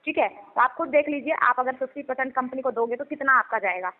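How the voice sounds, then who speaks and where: Female debt collection agent, high voice, rapid insistent delivery, recorded over a phone call. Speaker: debt recovery agent on a loan settlement call. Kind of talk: business phone conversation. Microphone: telephone line.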